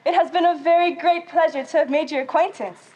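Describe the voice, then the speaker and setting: A young woman playing a gracious, theatrical Victorian actress in a high school stage play, with polite, expressive delivery. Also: a little fast, highs cut off.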